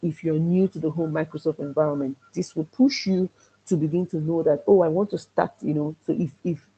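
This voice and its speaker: A man who says he has a loud voice teaches a security class on a video call, hesitating often with ums and restarts. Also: a little high, fairly even intonation, a little fast, husky. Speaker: security trainer. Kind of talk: online class presentation. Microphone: computer mic on a video call. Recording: dull lo-fi video call audio.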